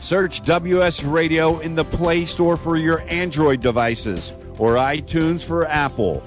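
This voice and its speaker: A man's voice: fairly high pitch, slow, soft background music. A man reading a scripted radio promo as an announcer. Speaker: advertisement announcer.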